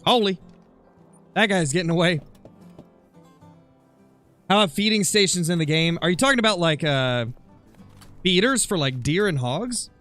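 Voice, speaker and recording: Higher-pitched man chatting on a gaming livestream. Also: lively intonation, normal speed, pauses often, fairly bright tone, soft background music. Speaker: live streamer, gamer. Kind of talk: casual livestream chat over gameplay, answering viewer comments.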